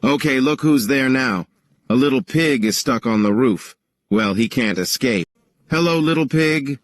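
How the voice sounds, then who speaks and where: A man's voice: medium pitch, expressive, measured pace, no echo, lightly acted. A man voicing a boastful, menacing, hungry big bad wolf in a children's story, taunting with repeated threats.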